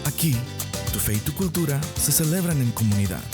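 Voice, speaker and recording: A man's voice: mid-range voice, lively intonation, fairly quick. A man narrating a scripted advert for a church community. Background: music.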